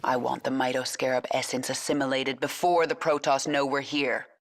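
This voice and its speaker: A woman's voice: rumbling low, level tone, normal speed, heavy background noise. A woman voicing a commanding female alien swarm queen in a game cutscene, cool and curt. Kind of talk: video game cutscene acting. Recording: game audio under loud music.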